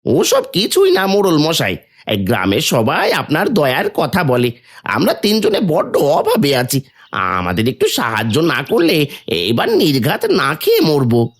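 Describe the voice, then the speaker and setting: A man playing a sly, vengeful village troublemaker in an animated story, conspiratorial and wheedling. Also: a little high, a little slow, creaky, exaggerated voice.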